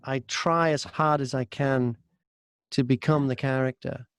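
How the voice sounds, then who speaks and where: A man's voice: medium pitch, steady tone, unhurried, creaky, no echo, clean studio sound. A man talking casually as an interview guest, a voice-over artist and audiobook narrator.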